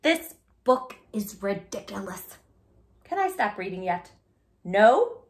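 A woman's voice: mid-range voice, sing-song, slow. A woman in her thirties reading a children's book aloud as a storytime host, with a theatrical, exaggerated silly delivery. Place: small living room, indoors on a sofa.

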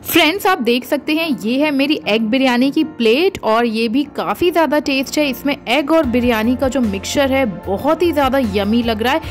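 Female vlog narrator, Indian accent, high-pitched and chirpy, reading scripted restaurant-review style voice-over over background music. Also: lively intonation, normal speed, few pauses. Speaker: food and travel vlogger, channel host.

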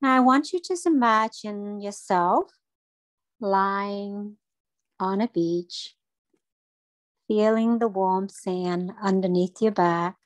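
A woman leading a guided breathing meditation for children, speaking in a gentle, soothing and encouraging way. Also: mid-range voice, slow, long pauses, dry recording.

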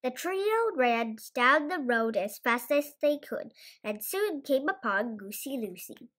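A young female storyteller reads a children's tale. The voice is high and very lively in its melody, with expressive delivery throughout. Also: slow. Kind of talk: children's bedtime story reading.

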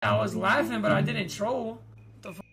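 A man's voice: sits high, normal speed, vocal fry. A man streaming live gameplay, talking to his teammates in profane, slangy speech. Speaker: gaming streamer. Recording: loud music under the voice. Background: loud music.

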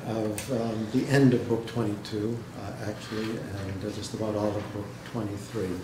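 A man giving a dramatic literary reading and talk to an audience, speaking in a measured way with pauses. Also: on the low side, little pitch movement. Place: indoor hall, seated before an audience. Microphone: microphone on a stand, recorded by a distant camera. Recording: echoey room, noisy background.

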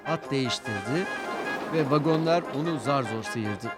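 A man's voice: medium pitch, normal speed. A man narrating a scripted children's story with dramatic storytelling delivery. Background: music.

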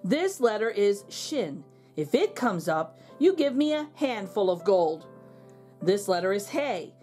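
Woman as a sly, playful storybook trickster, lively pitch. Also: a little slow, soft background music. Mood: sly, instructive. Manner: breezy, teasing, mock-innocent. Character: playful, sly man who outwits goblins. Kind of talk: children's storybook reading.

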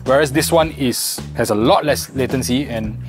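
Review co-host, Singaporean man in his forties, mid-pitched voice, clear background music. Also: moderate pace. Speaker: co-host and product tester. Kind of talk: casual product review chat.

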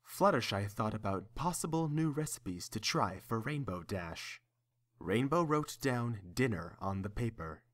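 A man's voice: on the low side, animated, unhurried. A man narrating a scripted story.

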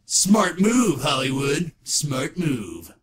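A man's voice: on the high side, very animated, very slow, full character voice. A man playing a brash, bitter washed-up rocker who boasts and tells his story in slangy, theatrical style for a comic dub. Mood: brash, menacing. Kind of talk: comic-book dub narration. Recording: music playing behind the voice.

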